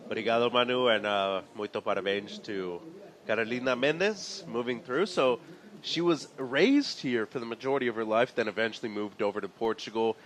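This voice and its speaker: A man doing live play-by-play for a sporting event, his voice mid-pitched and ordinary. He keeps a steady, informative delivery, reading out scores and the time on the clock. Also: brisk. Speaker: play-by-play commentator.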